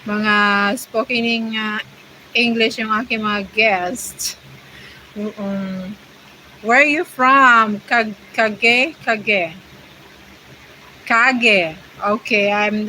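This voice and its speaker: A woman's voice: medium pitch, slow pace, sharp tone, slight background noise, low-quality recording. A woman from the Philippines with a Filipino accent, chatting casually on her livestream and reading viewer comments in hesitant English full of fillers. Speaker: vlogger hosting a livestream.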